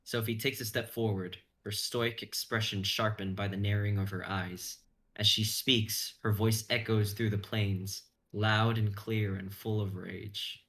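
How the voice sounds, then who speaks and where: Young adult man, low register, suspenseful dramatic narrator for an action fantasy. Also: flat delivery, unhurried, a bit bright. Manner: intense, suspenseful storytelling. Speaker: stream host and narrator.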